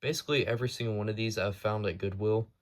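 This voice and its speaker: A man's voice: lowish voice, steady tone, quick pace. A man presenting his hobby collection in a casual, unscripted show-and-tell, with a matter-of-fact, informal delivery.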